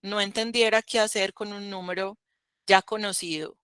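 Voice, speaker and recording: A woman's voice: medium pitch, fairly even intonation, normal speed, fairly bright tone, smooth, no room sound. Chatty woman teaching math. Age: 30s. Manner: conversational, checks with "¿cierto?". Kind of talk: online class lecture.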